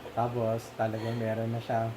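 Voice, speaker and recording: A man's voice: mid-range voice, completely flat delivery. Filipino man chatting in Taglish. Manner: halting, many restarts and fillers, laughs often. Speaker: movie discussion co-host. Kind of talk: casual Taglish chat. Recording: noisy background.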